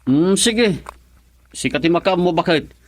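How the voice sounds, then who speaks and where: A man's voice: high voice, animated, measured pace. An actor playing a mild, dutiful middle-aged husband and father in a radio drama, speaking with an Ilocano accent. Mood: agreeable.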